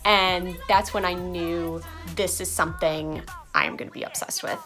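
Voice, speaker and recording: Woman, excited fan talk, laughs a lot, faint music. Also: lowish voice, moderate pace. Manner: enthusiastic, laughing often. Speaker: fan sharing testimony. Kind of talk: casual fan testimonial.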